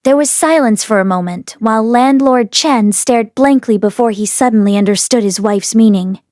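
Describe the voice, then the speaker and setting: A woman narrator reading a story aloud in an expressive storytelling style. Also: on the high side, normal speed, no room sound, studio quality. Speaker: audiobook narrator.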